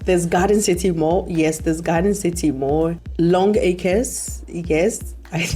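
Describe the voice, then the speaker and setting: A young woman from Ghana talking casually to camera as a travel vlogger, animated and exclaiming, with bursts of laughter. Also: lowish voice, slow, soft background music.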